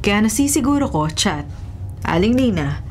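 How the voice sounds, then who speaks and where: A woman's voice: medium pitch, very animated, moderate pace, lightly acted. A woman with a Filipino accent playing an inmate's anxious but confident partner in a radio drama. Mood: earnest, defiant. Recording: faint music behind the voice.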